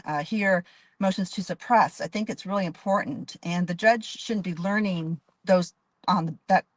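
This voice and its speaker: Low-voiced earnest woman judge. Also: dry recording. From Dallas, Texas. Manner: earnest, detailed, frequent restarts. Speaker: judge and candidate. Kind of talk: interview answers.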